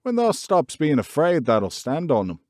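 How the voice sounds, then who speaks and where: A man's voice: mid-range voice, animated, normal speed, a little mellow, no echo, studio quality, natural voice. A man reading the part of a cheerful country boy with a Yorkshire accent, speaking stoutly and reassuringly.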